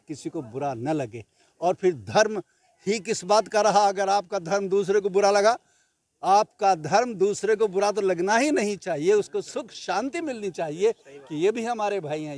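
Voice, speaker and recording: A man's voice: fairly high pitch, darkish tone. A man speaking in a news interview, earnest and repeating phrases for emphasis. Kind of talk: spoken news soundbite.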